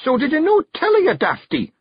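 A pint-sized Scottish fairy chieftain, shrill and blustering, forever caught on the back foot, as a man puts him on. The voice leaps high and loud with a husky grain. The recording is dry and a touch dull. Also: wildly swooping pitch, moderate pace, dry recording, exaggerated voice. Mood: gruff. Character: small fierce excitable male fairy clan chief.

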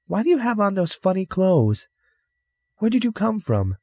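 A man's voice: high-pitched, very animated, moderate pace, mellow, no room sound, pitched above the performer's own voice, heavily acted. Man voicing a wary, bossy young girl. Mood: demanding. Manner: pointed, demanding.

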